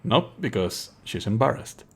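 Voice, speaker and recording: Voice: mid-range voice, sing-song, unhurried, creaky, a bit bright. A streamer giving wry, sweary, casual commentary on a game and reading its narration aloud in a live let's play.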